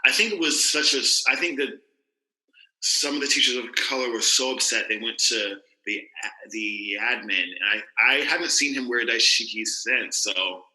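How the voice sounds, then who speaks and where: A man's voice: medium pitch, normal speed, sharp tone, roomy sound, poor audio. A man co-hosting a podcast, chatting casually with many fillers and restarts. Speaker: podcast co-host, teacher. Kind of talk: casual chat.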